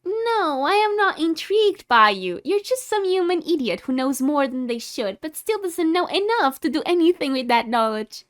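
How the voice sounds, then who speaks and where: A woman's voice: high voice, sing-song, moderate pace, hardly any pauses. A woman playing a haughty, secretly caring tsundere vampire in a scripted ASMR roleplay, condescending and easily exasperated. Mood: dismissive. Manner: condescending, exasperated, flustered scolding with sighs.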